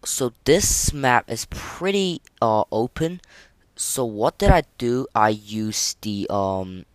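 A man hosting a casual, unscripted gaming podcast, speaking haltingly and often repeating and restarting his phrases. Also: medium pitch, expressive, dry recording. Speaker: podcast host.

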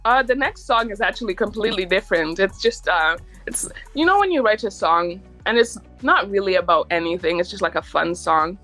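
A woman's voice: medium pitch, lively intonation, a little fast, few pauses, bright, forceful tone, faint music behind. A young woman, a recording artist, chatting casually in an interview and filling her talk with "like" and easy laughs. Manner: chatty, lots of "like" fillers, laughs easily.

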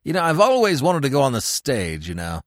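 A man's voice: mid-range voice, huge pitch swings, fairly quick, no room sound, pitched below the performer's own voice. A giggling, carefree young toff full of breezy slang, as a man reads him aloud. The voice swoops widely up and down, bouncy and chatty, in a dry, close sound with no room around it. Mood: chirpy.

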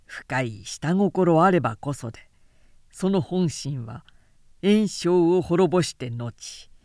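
A woman voicing a cautious male military adviser in a historical audiobook, speaking gravely, formally and deliberately. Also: low voice, lively intonation, a little mellow, no echo, studio quality. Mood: grave counsel.

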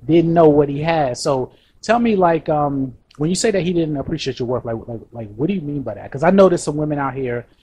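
A man's voice: fairly high pitch, lively intonation, fairly quick, dark tone, slightly rough. A man hosting a podcast interview, teasing and joking in a loose, casual way. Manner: teasing, keeps saying "you know what I'm saying". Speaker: podcast host.

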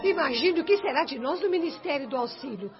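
A woman's voice: high voice, expressive, a little fast. An actress playing an alarmed, overworked female spirit worker in a radio drama. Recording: muffled radio play with background music.

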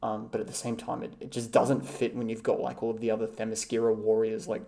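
A man's voice: on the low side, monotone, fast talker, gravelly, mellow. A man co-hosting a casual film rewatch podcast, talking hesitantly with many ums and likes. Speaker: podcast co-host.

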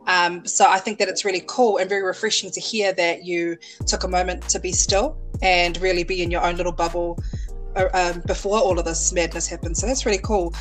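A woman's voice: lowish voice, steady tone, fairly quick, faint music behind. A woman from New Zealand hosting a podcast, upbeat and enthusiastic, full of effusive praise for her guest. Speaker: podcast host. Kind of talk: casual interview chat.

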